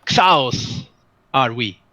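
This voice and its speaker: A man's voice: mid-range voice, expressive, extremely slow, bright, forceful tone, no room sound, exaggerated voice. A man voicing a captured street thug in a tabletop game, desperate and oddly cryptic, with scrambled word order. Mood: cryptic, pained.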